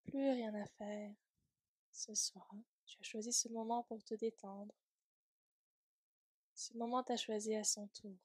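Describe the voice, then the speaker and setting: Woman, slow pace, soft breathy voice, soothing guided meditation, gentle near-whispered endings, close dry sound. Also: fairly high pitch, fairly even intonation, dry recording. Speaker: yoga nidra instructor.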